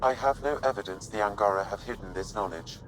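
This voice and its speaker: Low, precise male AI assistant played by a man. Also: fast talker, background noise, natural voice. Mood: detached, factual. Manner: precise, detached, matter-of-fact. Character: calm, analytical male AI assistant advising a space explorer. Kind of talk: video game voice acting.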